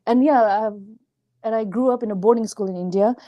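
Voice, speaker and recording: A woman's voice: fairly high pitch, quick pace, highs cut off. A woman from Nepal, hosting a casual conversation and telling her story in a rambling, chatty way. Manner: chatty and rambling, many restarts and fillers. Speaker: host.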